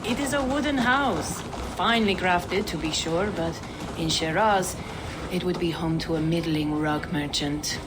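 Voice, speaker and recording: Woman as low-voiced, haughty Persian trader. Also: unhurried, background noise, lightly acted. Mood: teasing disdain. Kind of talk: video game cinematic acting.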